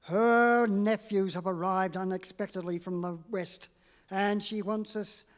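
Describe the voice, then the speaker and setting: A harried male city editor, acted live by a stage performer, hesitant and placating, stumbling through his sentences. Mid-pitched and ordinary in tone. The sound carries a long reverb from a large concert hall. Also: fairly even intonation, measured pace, natural voice. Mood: flustered. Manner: hesitant, full of uh's, placating. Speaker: amateur radio play actor. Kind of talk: live staged radio play reading.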